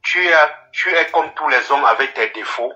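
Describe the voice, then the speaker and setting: A man's voice: mid-range voice, normal speed, pushed and bright. A man from Cameroon, a caller praising the host emphatically and lecturing listeners. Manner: fervent, emphatic and preachy. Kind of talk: live phone-in praise. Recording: dull lo-fi phone line.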